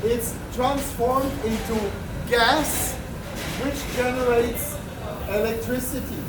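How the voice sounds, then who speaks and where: Man, tour guide, loud carrying voice, chatty and joking, echoey galley taped from across the room. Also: extremely high pitch, steady tone, slow. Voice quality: loud, projecting voice. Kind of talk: guided tour talk to a group. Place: large ship kitchen, indoors. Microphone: far camera in the crowd. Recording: echoey kitchen from far off with loud background noise.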